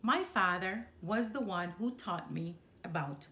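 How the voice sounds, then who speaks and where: A woman reading a children's storybook aloud in the first person, expressively, with deliberate, dramatic pauses. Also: lowish voice, lively intonation, bright, forceful tone. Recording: roomy, echoing room.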